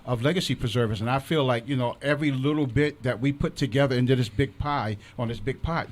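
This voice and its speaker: A man's voice: mid-range voice, fast. A man co-hosting a studio show, chatting casually and earnestly and telling anecdotes. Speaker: co-host.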